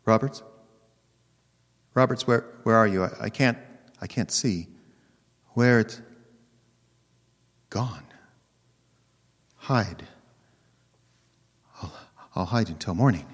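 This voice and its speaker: A man's voice: on the low side, slow pace, long pauses. A man playing a terrified, stammering man on the run in a horror radio drama. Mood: fearful, dazed. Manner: stammering, frantic. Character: terrified man fleeing a vengeful dead man. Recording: long hall-like reverb.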